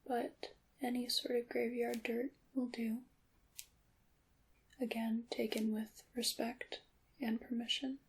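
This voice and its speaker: A woman playing a gentle, knowing witch in an ASMR roleplay, speaking in a breathy half-whisper with deliberate, slightly formal phrasing. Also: a little high, level tone, exaggerated voice. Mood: hushed, instructive. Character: gentle, knowing witch teaching her craft. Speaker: ASMR performer.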